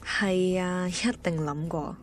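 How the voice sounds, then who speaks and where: A woman's voice: fairly low pitch, steady tone, takes it slowly. An actress playing a blunt, teasing, know-it-all female friend in a radio drama, emphatic, with a Hong Kong Cantonese accent. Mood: knowing, warning. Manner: emphatic, mixes in English words. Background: music.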